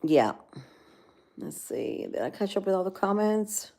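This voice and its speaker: A woman's voice: mid-range voice, big pitch swings, a little slow, vocal fry, mellow. A woman with a Cuban accent who grew up in Florida, hosting a casual livestream and rambling to her viewers as she reads their comments. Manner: rambling, talks straight to viewers, many fillers. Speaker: livestream host.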